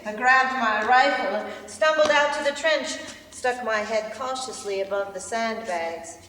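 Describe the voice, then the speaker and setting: A woman playing a reflective British soldier writing home, reading in measured, expressive reader's theater style on a stage. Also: on the high side. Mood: animated. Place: large indoor theater stage. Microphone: far camera. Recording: distant, echoey theater stage, faint noise.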